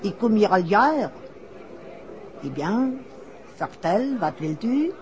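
French fortune teller lady, slow and sly, french accent, old muffled radio play sound. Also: medium pitch, sing-song, a little mellow, a faint crowd, a little hiss or hum. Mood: coaxing, knowing. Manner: sprinkles French phrases, deliberate and knowing. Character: French fairground fortune-teller woman, coaxing and knowing. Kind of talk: 1960s Dutch radio drama acting. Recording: dull old radio recording.

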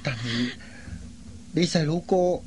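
An actor playing a devoted, gentle young man in a radio drama, earnest and reassuring. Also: fairly high pitch, slow pace, slight background noise. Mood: sombre. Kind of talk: Cantonese radio drama acting.

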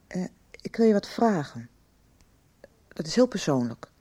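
An actress playing a concerned, insistent doctor questioning a young patient in a radio soap. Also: female voice, lowish voice, sing-song, warm tone, breathy edge, full character voice. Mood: stern, concerned. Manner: direct, insistent, deliberate questioning. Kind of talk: Dutch radio soap acting.

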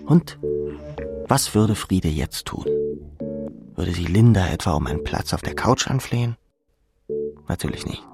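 A restless, grieving young clubber in a literary audiobook. The voice is deep and creaky, with music behind it. He addresses himself in dramatic, clipped, self-lacerating phrases. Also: expressive, unhurried, pauses often, heavily acted. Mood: brooding, grieving. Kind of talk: literary second-person monologue.

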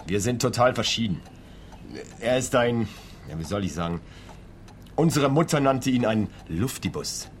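A man's voice: medium pitch, animated, normal speed, pauses often, a little hiss or hum, close to an everyday voice. An actor playing an earnest, worried man who is a detective's client in a radio drama, speaking a little hesitantly. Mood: worried, puzzled. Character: earnest, worried male client, frugal ordinary working man.

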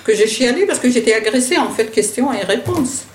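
A woman's voice: a little high, animated, a little fast, a little hiss or hum. Blunt older woman, roomy room. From Syria. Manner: blunt, dismissive, talks over her questioner. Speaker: interviewee, the producer's mother. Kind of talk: candid family conversation.

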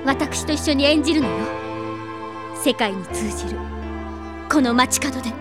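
A woman's voice: high-pitched, normal speed, over music, natural voice. A woman playing a proud rich teenage girl in an anime audio drama, imperious, with refined ladylike diction. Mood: commanding.

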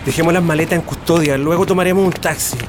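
A man's voice: a little high, steady tone, fast, noisy background, lightly acted. Actor playing an emotional Chilean exile man. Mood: hopeful, pensive. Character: Chilean male exile in Sweden, emotional, hopeful. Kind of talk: radio drama acting. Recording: music playing behind the voice.

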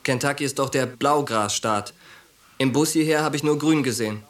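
An actor playing a wary young army sergeant in a radio drama, dry and guarded, a loyal friend. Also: male voice, mid-range voice, normal speed, natural voice. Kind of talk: German radio drama acting.

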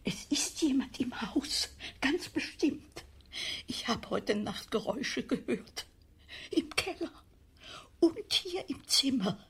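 A fearful old spinster in a radio drama. Her high voice is very breathy and swoops about as she frets and falters, unhurried and easily worked up. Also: expressive, a bit bright, exaggerated voice. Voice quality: husky, breathy. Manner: fretful, halting, anxious. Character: fearful, excitable old spinster aunt, 79, housebound.

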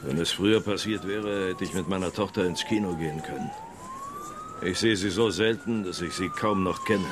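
Very deep, weary police detective. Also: male voice, huge pitch swings, moderate pace. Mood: resigned. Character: weary, gruff male police detective and father. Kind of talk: German radio crime drama acting. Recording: noisy city street with sirens.

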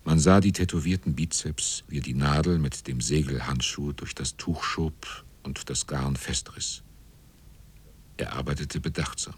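An actor narrating a radio drama as a grave seaman, with a husky, often hushed delivery. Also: extremely low pitch, a little slow, heavily acted. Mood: measured, sombre.

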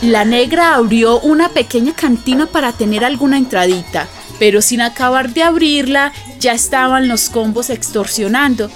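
An actress playing an affectionate, confiding neighbour who narrates a radio drama, colloquial, with a Colombian accent. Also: female voice, on the high side, normal speed, hardly any pauses, over music, lightly acted. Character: affectionate gossipy woman neighbour narrating her friend's life.

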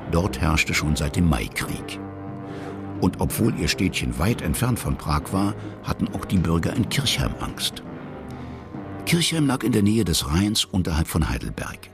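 A man narrating a historical radio play for children as a measured, explanatory storyteller. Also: big pitch swings, over music, heavily acted. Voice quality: very deep, gravelly.

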